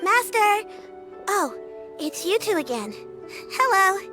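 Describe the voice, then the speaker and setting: Casting: reserved young apprentice girl, very high and unhurried, polite, game dialogue. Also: very animated, music in the background, exaggerated voice. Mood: calm, pleased. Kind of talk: video game voice acting.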